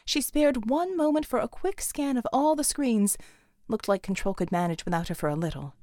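A woman's voice: on the high side, normal speed, a little mellow, no room sound, studio quality. A woman reading dramatic action fiction as a scripted audiobook narration.

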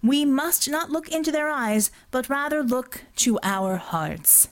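Woman as a slow, smooth-talking male boss. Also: high-pitched, lively intonation, voice pushed up. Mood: grandiose, measured. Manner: slow, politician-like oratory.

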